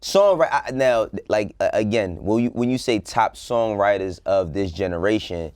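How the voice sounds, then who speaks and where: Low-voiced man, slangy talk show co-host. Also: lively intonation, normal speed, a little mellow, no echo. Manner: slangy, informal, swears freely. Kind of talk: casual music discussion.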